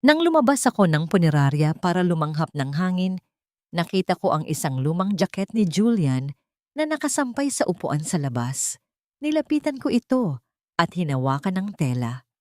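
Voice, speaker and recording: A woman's voice: fairly low pitch, sing-song, moderate pace, warm tone, no room sound, studio quality. A woman reading a scripted first-person drama as an audiobook narrator.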